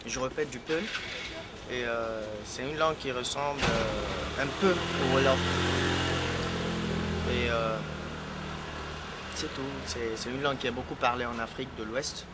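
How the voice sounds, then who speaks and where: A man's voice: mid-range voice, measured pace, pauses often. Hesitant man over traffic noise. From Guinea. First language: Fula. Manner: hesitant, repeats words, frequent "tu vois" fillers. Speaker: singer being interviewed. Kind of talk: casual interview chat.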